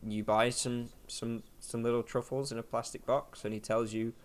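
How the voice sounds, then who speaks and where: A man's voice: medium pitch, flat delivery, normal speed. A man hosting a casual podcast chat, rambling with lots of fillers and restarts. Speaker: podcast host.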